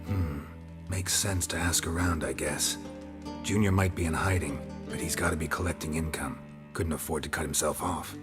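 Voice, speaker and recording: A stoic fantasy game monster hunter with a very deep voice. He speaks in clipped, deadpan phrases, over clearly audible music. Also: normal speed. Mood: deadpan, businesslike. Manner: laconic, deadpan, clipped sentences. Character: terse, stoic monster-hunting mercenary man. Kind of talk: video game voice acting. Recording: music behind the voice.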